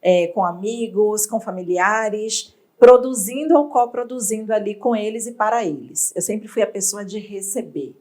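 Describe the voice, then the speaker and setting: Woman guest, measured and expressive, mid-pitched. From Bahia, Brazil. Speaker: entrepreneur guest. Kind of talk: interview storytelling.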